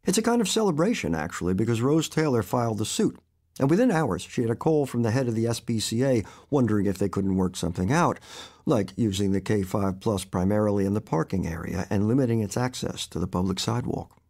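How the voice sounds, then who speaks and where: A man, an author, reading his own short story aloud in an expressive, animated storytelling manner. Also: lowish voice, quick pace.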